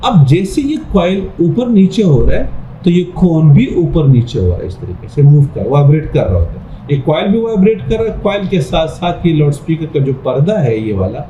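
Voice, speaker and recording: Guy teaching physics, soft mellow voice, repeats stuff step by step, really echoey room w bit of noise. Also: fairly high pitch, very animated, moderate pace, dull audio. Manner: repetitive step-by-step explaining with check-in phrases. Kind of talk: informal explanatory lesson talking through a diagram.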